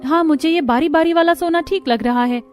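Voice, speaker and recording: A woman's voice: very high-pitched, a little fast, soft background music, voice pushed up. A woman voicing an uneasy, earnest village housewife worried for her family in a cartoon story. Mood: anxious. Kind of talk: animated Hindi cartoon story.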